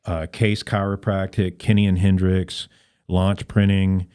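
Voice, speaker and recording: Male interview guest, civic volunteer type. Deep, relaxed tone, casual and plain-spoken delivery. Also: measured pace, clear, resonant tone.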